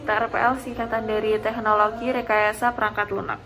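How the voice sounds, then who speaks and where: A young woman student from Semarang explaining her coursework in a testimonial, pausing on small fillers. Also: on the high side, flat delivery, poor audio. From Semarang, Indonesia. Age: young adult. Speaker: first-semester student. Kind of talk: testimonial interview.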